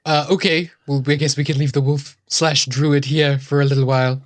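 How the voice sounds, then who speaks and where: A man's voice: a little high, level tone, moderate pace, no room sound. A man playing a tabletop game, chatting casually and explaining his actions as he improvises. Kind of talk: casual improvised role-play chat.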